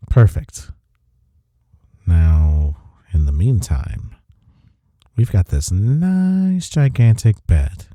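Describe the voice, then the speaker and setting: A man playing a teasing, tender office rival in an intimate romantic audio roleplay, speaking haltingly with breathy sighs and murmurs. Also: deep voice, mellow, no echo, studio quality, full character voice. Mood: playful, warm. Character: young male coworker rival confessing love, teasing and tender.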